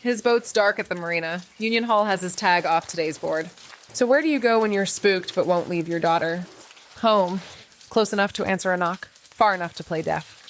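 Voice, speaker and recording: A woman's voice: on the low side, normal speed, a little hiss or hum, lightly acted. Actress as a hardboiled lady detective, dry and clipped, dogged, noir radio play style. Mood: dry, terse. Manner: terse, dry, challenging. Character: hard-boiled, dogged woman investigator. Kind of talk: clipped first-person noir audio drama.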